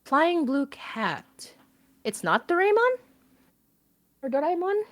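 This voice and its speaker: A woman chatting casually on a livestream, animated and giggly, with geeky enthusiasm. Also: sits high, slow, no echo. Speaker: guest on a fundraising livestream.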